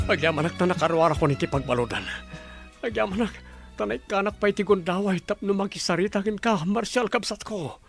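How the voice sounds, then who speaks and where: A man's voice: sits high, fairly quick, gravelly, a bit bright, soft background music. An actor playing a remorseful man just out of prison, speaking earnestly and contritely to his brother in a radio drama. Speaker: repentant brother character.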